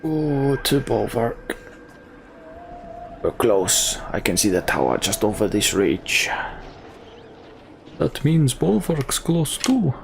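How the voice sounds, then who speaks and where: A man with a creaky voice giving commentary as the host of a video game playthrough. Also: medium pitch, sing-song, slow pace, long pauses, a little hiss or hum. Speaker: let's play host.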